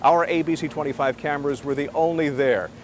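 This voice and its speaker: A man's voice: a little high, steady tone, fairly quick. An American man anchoring live breaking news outdoors from a residential neighborhood. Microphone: handheld broadcast microphone. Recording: live outdoor TV audio with some noise.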